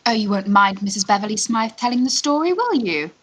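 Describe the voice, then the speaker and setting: A woman's voice: mid-range voice, animated, a little fast, fairly bright tone, breathy edge. A woman acting an eager, coaxing young society lady in a Victorian radio farce, polite and pleading.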